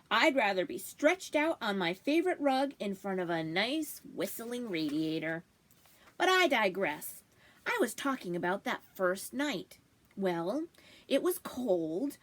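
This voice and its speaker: A woman reading a story aloud in an expressive, animated storybook style. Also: medium pitch. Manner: animated, acting out dialogue. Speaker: librarian reading aloud to children.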